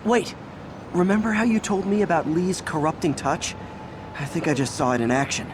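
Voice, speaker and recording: An actor playing a friendly, earnest young American superhero in a video game, talking in a casual, slightly awkward way. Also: male voice, on the high side, expressive, moderate pace, background noise, lightly acted. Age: young adult. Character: earnest, friendly young male superhero juggling everyday life.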